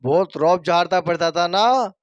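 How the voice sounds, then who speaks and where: Storybook crook voiced by a man: treacherous and cowardly, high clear voice. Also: normal speed, no room sound, lo-fi sound, lightly acted. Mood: smug, gloating. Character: gloating, treacherous gangster turned cowardly pleader. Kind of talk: dramatized Hindi moral horror story.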